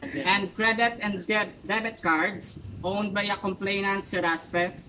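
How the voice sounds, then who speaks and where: Through clear background noise comes a court clerk acted by an amateur with a Filipino accent. The voice sits at a middle pitch and reads in a formal, slightly halting way. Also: fairly even intonation, bright, forceful tone. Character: formal court clerk calling and reading out a case. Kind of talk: amateur courtroom role-play, formal reading aloud. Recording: very noisy amateur recording, traffic ambience.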